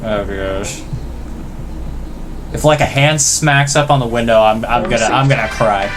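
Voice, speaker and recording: A man's voice: mid-range voice, a little slow, over music. A man hosting casual reaction commentary, joking and self-deprecating, with loose, sweary phrasing. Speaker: video host.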